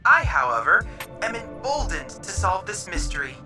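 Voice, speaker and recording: An enthusiastic, bookish young boy genius from a video game, played by a woman. The speech is formal and precise with very lively intonation, in a roomy echo over music. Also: mid-range voice, moderate pace, natural voice. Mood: earnest, keen. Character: eager, polite, bookish boy scientist.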